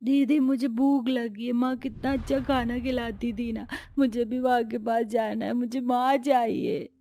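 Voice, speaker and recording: High, plaintive orphan child played by a woman. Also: fairly even intonation, unhurried, dark tone. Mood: tearful longing. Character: hungry, tearful orphaned small children, pleading.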